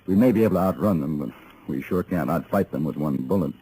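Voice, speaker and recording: An actor playing a terse, steady gunfighter in an old radio western. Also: male voice, rumbling low, quick pace, husky, full character voice. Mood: calm, guarded. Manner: terse, unsentimental. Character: laconic, steady hired gunfighter in the Old West. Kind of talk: 1950s radio western acting. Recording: noisy old radio drama recording.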